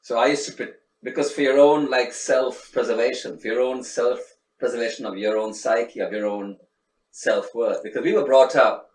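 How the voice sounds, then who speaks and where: A man's voice: mid-range voice, steady tone, moderate pace, a little mellow. A middle-aged man from Sri Lanka, talking in a reflective, casual interview with many restarts and fillers. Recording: echoey room, faint background noise, mic at a distance.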